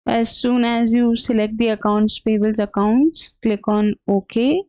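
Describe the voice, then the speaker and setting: A woman giving an unscripted software tutorial as a trainer, explaining step by step in a halting, hesitant way. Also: fairly high pitch, fairly even intonation, dry recording. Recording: dull, lo-fi, dry close sound.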